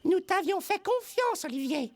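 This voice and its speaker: A woman's voice: very high-pitched, very animated, normal speed, exaggerated voice. A woman voicing a modest, earnest young male rabbit hero in a boyish cartoon manner. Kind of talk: French cartoon character acting.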